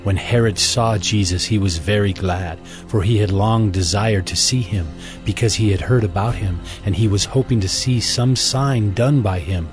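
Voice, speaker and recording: Casting: male narrator, biblical drama. Lowish register, solemn measured reading, music underneath. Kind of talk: scripted scripture narration.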